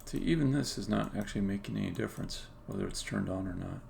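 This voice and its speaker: A low male voice with heavy vocal fry talks casually through his work on a game development stream. He speaks haltingly, half to himself, as he thinks aloud. Faint noise sits behind him, with keyboard typing and clicks. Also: a little mellow. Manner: halting, muttering to himself while working. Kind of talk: casual think-aloud live-coding commentary. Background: computer keyboard typing and mouse clicks.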